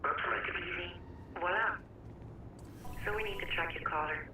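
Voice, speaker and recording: A woman's voice: fairly low pitch, moderate pace. Distant echoey female hacker on a call. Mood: businesslike. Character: sly, businesslike young female hacker ally. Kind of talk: video game voice acting. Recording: distant, echoey, noisy game call audio.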